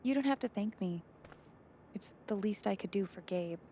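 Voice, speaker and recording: A woman's voice: medium pitch, normal speed, warm tone, slight background noise, close to an everyday voice. Wry, down-to-earth young woman, acted by a woman. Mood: helpful. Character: smart, down-to-earth young small-town woman, game character. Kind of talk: naturalistic video game acting.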